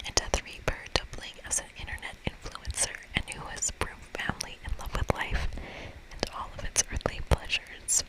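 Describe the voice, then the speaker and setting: A woman whispering breathily in an ASMR style as she hosts a game playthrough, reading aloud and commenting softly. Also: moderate pace, low-quality recording. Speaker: ASMR gaming host.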